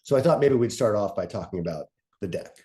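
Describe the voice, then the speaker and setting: A man moderating a casual panel talk in a self-deprecating way, thinking aloud in halting phrases. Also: on the low side, lively intonation, a little mellow.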